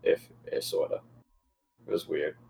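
A man's voice: measured pace, darkish tone. A man chatting casually as a panelist on a podcast. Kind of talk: casual chat.

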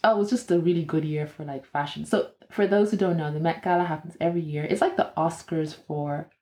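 Young woman, lower voice, casual chatty podcast co-host. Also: fairly even intonation, brisk, warm tone. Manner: chatty, laughs often, explains at length. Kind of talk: casual chat. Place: room in Ireland.

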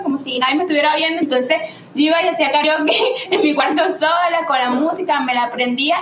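A woman telling a lively story in a panel discussion, laughing as she talks. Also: high voice, roomy sound, a little hiss or hum.

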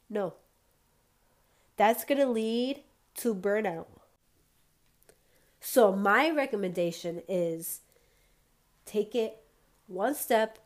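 A woman's voice: medium pitch, darkish tone. A woman hosting her own podcast, talking straight to listeners in an encouraging, motivational way. She sounds a little congested and wheezy. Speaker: podcast host. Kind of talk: solo podcast monologue.

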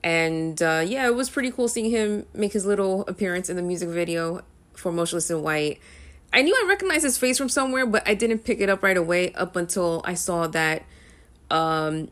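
Rambling young woman with a low voice. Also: animated, moderate pace, fairly bright tone. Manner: rambling, many ums and uhs. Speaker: music vlogger. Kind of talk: casual talk to camera.

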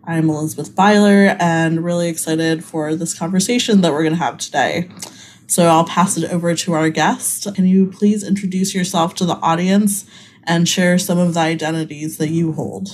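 A woman hosting a podcast, reading a scripted intro and asking measured questions. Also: fairly low pitch, steady tone, darkish tone, a little hiss or hum. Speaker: podcast host. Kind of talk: scripted intro then interview.

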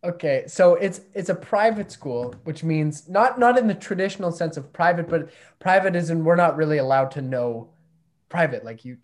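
A man hosting a comedy podcast, introducing guests and spinning long, deadpan, rambling riffs. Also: a little high, normal speed. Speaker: podcast host.

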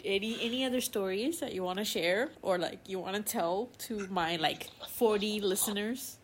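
A woman hosting a casual podcast chat, relaxed, with lots of fillers and easy laughter. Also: mid-range voice, normal speed. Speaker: podcast host. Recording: faint background noise.

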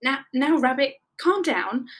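Woman as a storybook teddy bear, earnest, coaxing, excitable, high, swooping intonation. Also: slow, husky, full character voice. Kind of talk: children's storybook character voice.

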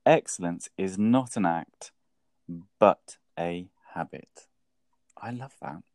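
A man's voice: low-pitched, takes it slowly, a little mellow, no room sound, studio quality. A man co-hosting a workplace podcast, chatting casually with an enthusiastic, jokey manner. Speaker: podcast co-host.

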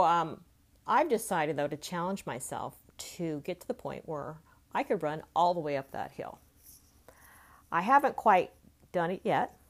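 A woman in her late fifties speaking as a life coach, giving an informal, reflective motivational podcast monologue in a conversational way. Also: deep voice. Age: late 50s.

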